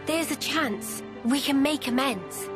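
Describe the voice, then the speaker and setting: An actress playing a gentle, guarded young woman soldier in a video game cutscene, speaking softly and in a measured way. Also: high-pitched, over music. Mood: sombre, reflective.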